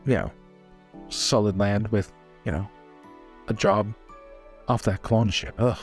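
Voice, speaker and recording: A man's voice: fairly low pitch, fairly even intonation, slow. A man playing a respectful, world-weary navy sailor in a casual, hesitant way for a tabletop game. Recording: faint music behind.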